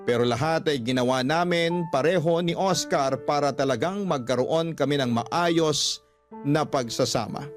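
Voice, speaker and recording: Higher-pitched male radio storyteller. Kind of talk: scripted radio narration of a listener's letter. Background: music.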